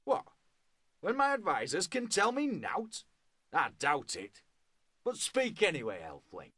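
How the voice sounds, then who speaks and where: An actor playing a gruff, proud old dwarf king in a fantasy video game, commanding and theatrical. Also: high voice, huge pitch swings, unhurried, sharp tone, no room sound, full character voice. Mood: scornful.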